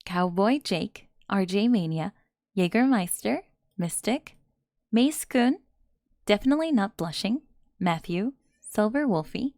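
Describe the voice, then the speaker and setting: A woman's voice: medium pitch, lively intonation, unhurried, a little mellow, no room sound. A woman hosts her own channel, addressing listeners directly. She reads a scripted sign-off in the manner of an online creator. The recording is very dry, with no room sound. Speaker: channel host, ASMR performer. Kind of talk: scripted outro with shout-outs.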